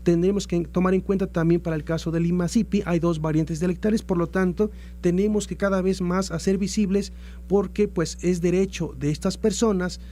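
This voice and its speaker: A man's voice: high voice, fairly even intonation, brisk, warm tone, slight background noise. A Mexican man giving formal, earnest explanations as an institutional guest in a radio interview, often repeating phrases as he goes. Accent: Mexican Spanish. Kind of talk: formal interview answer about institutional plans.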